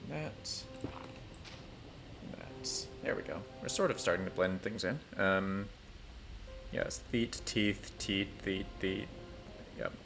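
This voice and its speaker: A man chatting casually on a gaming stream, thinking aloud in a halting, rambling way with dry, self-deprecating humour. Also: low voice, animated, vocal fry, music in the background. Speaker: livestreamer playing a building game.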